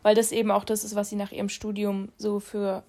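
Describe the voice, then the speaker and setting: A woman's voice: mid-range voice, monotone, a little fast, a little mellow. A young woman with a cold, co-hosting a podcast and chatting casually into her phone, alone in her room, laughing at herself. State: has a cold. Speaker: podcast co-host. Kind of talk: casual chat.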